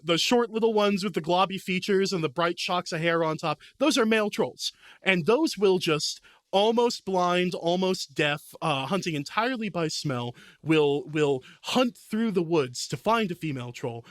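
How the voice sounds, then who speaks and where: A man with a slightly high voice, recorded close and dry. He talks like an eager game master on a podcast, rambling through lore and tales with real enthusiasm. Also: expressive, normal speed, dry recording. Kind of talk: animated casual explanation of fantasy lore.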